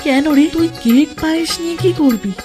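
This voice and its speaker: A woman's voice: sits high, brisk, over music, natural voice. A woman voicing a busy, homely middle-aged mother in a comic cartoon. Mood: busy, obliging.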